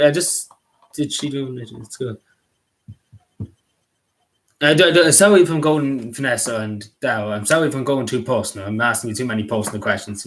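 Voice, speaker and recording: A young man from Derry in Northern Ireland chats loosely with viewers on his live stream. His Derry accent is strong, and his mid-pitched voice wanders from thought to thought. He stumbles, restarts and apologizes as he goes. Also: a bit bright, rough recording. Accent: Derry, Northern Irish. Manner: halting, rambling, apologetic, full of restarts. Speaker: livestreamer.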